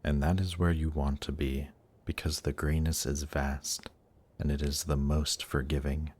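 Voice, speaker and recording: Man playing a very deep-voiced, seductive demon prince. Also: flat delivery, slightly rough, exaggerated voice. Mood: confiding, persuasive. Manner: slow, seductive, deliberate, gently coaxing. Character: suave demon prince of Hell, tempting and reassuring. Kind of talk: intimate audio roleplay, scripted monologue to listener.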